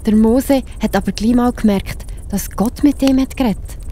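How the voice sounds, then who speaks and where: A woman's voice: on the high side, moderate pace, mellow, a little hiss or hum. A woman with a Swiss accent narrating a children's story in a chatty way, speaking directly to her listeners.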